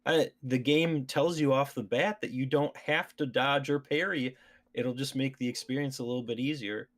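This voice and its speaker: A man's voice: mid-range voice, fairly even intonation, normal speed. Casual male podcast host. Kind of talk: casual gaming podcast chat.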